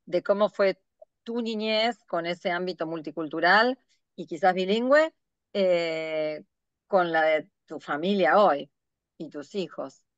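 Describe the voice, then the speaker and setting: Argentine woman host, smooth low voice. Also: slow, dry recording, dull audio. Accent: Argentine Spanish. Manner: thoughtful, hesitant phrasing with many fillers. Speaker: podcast host. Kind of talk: interview questions.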